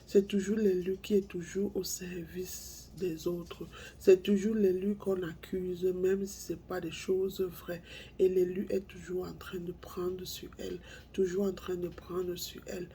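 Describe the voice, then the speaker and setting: A woman with a cold speaking to her viewers in a slow, halting monologue. She repeats herself and drops to near-whispers. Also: on the low side, flat delivery, mellow, a little hiss or hum. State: has a cold, congested. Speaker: spiritual speaker.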